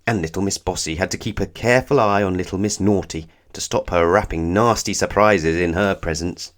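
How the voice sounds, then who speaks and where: A man reading a children's storybook aloud as narrator, with expressive, measured phrasing. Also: deep voice.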